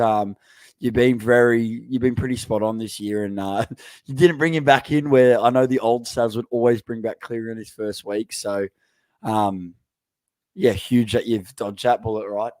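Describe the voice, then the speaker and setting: A man co-hosting a casual sports podcast, talking in a relaxed, rambling way with many fillers. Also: medium pitch, moderate pace, no echo. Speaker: podcast co-host.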